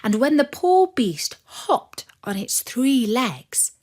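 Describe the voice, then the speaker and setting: A woman narrating a fairy tale for children in an expressive, measured storytelling manner. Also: on the high side.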